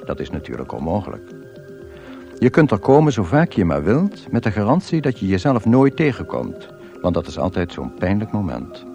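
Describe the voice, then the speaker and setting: A man playing a deadpan, measured narrator of cosmic absurdities in a science-fiction comedy radio play. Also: lowish voice, vocal fry, muffled recording, faint music behind.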